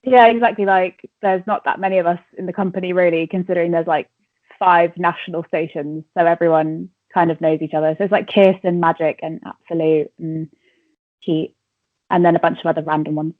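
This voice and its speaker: A woman in her twenties speaks over a thin, phone-like line with a southern English accent. Her voice sits a little low and the sound is dry. She chats informally, with giggles and plenty of filler "like". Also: fairly even intonation, fairly quick, dry recording. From Wales. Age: 20s. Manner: chatty and informal, giggles often, frequent "like". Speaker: radio entertainment reporter and presenter being interviewed. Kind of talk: casual interview chat. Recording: thin, narrow phone-like call audio.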